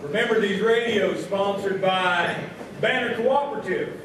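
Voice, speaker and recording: A man's voice: high voice, unhurried, a crowd in the background. A man playing a folksy small-town host of a live radio variety comedy show, with showman's patter. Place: live show before audience in hall. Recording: echoey hall, distant, noisy live stage.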